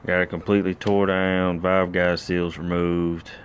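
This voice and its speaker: A man's voice: deep voice, level tone, measured pace, slight background noise. A middle-aged man filming his own engine work with a phone, talking through each step in a plainspoken, rambling way with lots of fillers. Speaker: home mechanic filming a rebuild. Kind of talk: casual how-to commentary. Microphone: handheld phone camera.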